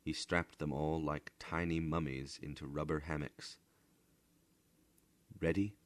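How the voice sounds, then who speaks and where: A man narrating a literary story dramatically, with deliberate, theatrical delivery that sometimes drops to a hush. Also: a bass voice, flat delivery. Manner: theatrical, deliberate, hushed at times. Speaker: storyteller, voice actor.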